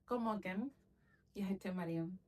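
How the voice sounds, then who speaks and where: A young woman vlogging in a relaxed, chatty way, with frequent laughs and plenty of "like" between her thoughts. Her voice sits at an ordinary middle pitch. She sounds slightly distant from the microphone. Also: moderate pace. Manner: chatty, laughs often, lots of "like" fillers. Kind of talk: casual chat to camera.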